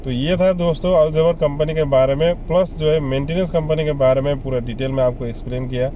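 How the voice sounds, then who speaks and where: Male vlogger, slightly high mellow voice, casual and repetitive explainer. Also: fairly even intonation, a little fast, slight background noise. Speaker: vlogger giving job advice. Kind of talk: casual explainer addressed to viewers.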